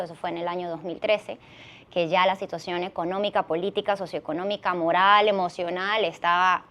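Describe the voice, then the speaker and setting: A woman's voice: mid-range voice, a bit bright. A woman in her thirties speaks with a Venezuelan accent in a mid-range voice. She tells her own story in a conversational way, as a guest on a podcast.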